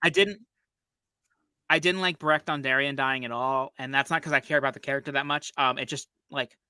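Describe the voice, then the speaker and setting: A man's voice: medium pitch, fairly even intonation, brisk, pushed and bright, clean tone, dry recording, studio quality. A man chatting casually as a podcast co-host, often acting out imagined lines of dialogue as he talks. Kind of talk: casual chat.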